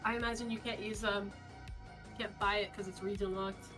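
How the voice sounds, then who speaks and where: A woman's voice: medium pitch, flat delivery, brisk, music in the background. Chatty young-sounding woman teasing on stream. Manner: casual and chatty, with frequent "like" fillers and teasing banter. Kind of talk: casual gaming stream chat.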